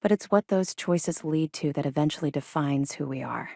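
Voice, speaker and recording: Disciplined female space navy officer, lower-voiced. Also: moderate pace, warm tone, dry recording, studio quality. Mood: businesslike, firm. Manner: crisp, formal, businesslike. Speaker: military officer briefing the player. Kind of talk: scripted video game dialogue.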